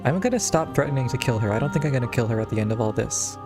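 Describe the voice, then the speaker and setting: Streamer, woman, casual playthrough commentary and read-aloud choices, with clear background game music. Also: rumbling low, lively intonation, rapid-fire.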